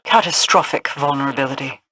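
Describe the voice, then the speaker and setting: An actress playing a regal, imperious, cold queen in a video game, speaking formally and with measured haughtiness. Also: a bass voice, sharp tone, husky, dry recording, heavily acted, rough recording.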